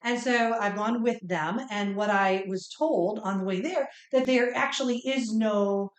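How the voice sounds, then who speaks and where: A woman's voice: mid-range voice, measured pace. A middle-aged woman chatting casually as a podcast co-host. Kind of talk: casual chat. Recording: roomy, echoing room sound.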